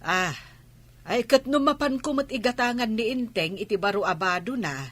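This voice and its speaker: A woman's voice: fairly high pitch, expressive, moderate pace, dry recording. A woman playing a bossy, nagging village wife with an Ilocano Filipino accent in a comic radio drama. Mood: chatty. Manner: sharp, nagging.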